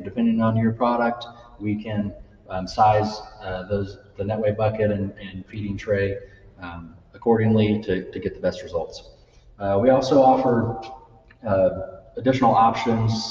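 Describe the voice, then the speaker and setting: A young man walks through a live product demo in a loose, halting way, filling gaps with ums and uhs. His voice sits a little low and mellow. He is picked up from a distance in a large reverberant hall, with a dull, muffled sound. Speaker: product demonstrator. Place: large trade-show style hall, indoors.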